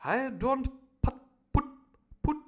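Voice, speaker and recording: A prim gentleman doctor with a posh English accent, drawing out each fussy word, played by a man. The voice is high and bright. He sounds measured and proper. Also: big pitch swings, heavily acted. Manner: slow and fussy, drawn out.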